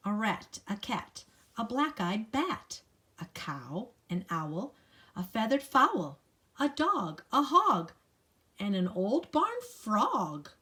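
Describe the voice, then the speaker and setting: Older woman reading to children, slow and animated. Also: mid-range voice. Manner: playful, sing-song listing with dramatic emphasis; talks directly to young listeners. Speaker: grandmother reading a picture book aloud. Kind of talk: children's read-aloud with chatty asides.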